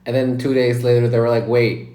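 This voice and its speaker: A man's voice: mid-range voice, flat delivery, brisk, dark tone. A man co-hosting a casual podcast, telling candid personal stories and laughing often. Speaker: podcast co-host. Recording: echoey room.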